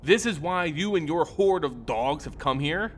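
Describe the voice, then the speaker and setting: A man's voice: fairly high pitch, expressive, normal speed, a little hiss or hum, lightly acted. A man playing a proud, shrewd holy warrior in a fantasy story, stern and challenging. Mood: accusing.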